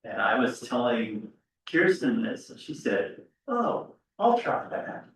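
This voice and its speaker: A man making informal, halting remarks as the host of a presentation. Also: medium pitch, big pitch swings. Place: large indoor church. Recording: very echoey hall, distant mic.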